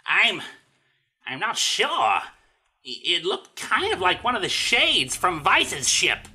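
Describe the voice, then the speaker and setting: A man's voice: high voice, huge pitch swings, slow, bright, forceful tone, full character voice. A man acting an irritable, exasperated teammate in a dramatic web series. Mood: uneasy. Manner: exasperated, argumentative.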